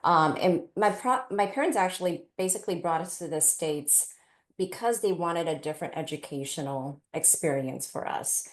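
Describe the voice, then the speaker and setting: Low-voiced woman telling her story, echoey room. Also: normal speed. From Taiwan, then California from age eight. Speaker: parent panelist. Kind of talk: personal storytelling on a panel. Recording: roomy, echoey event space.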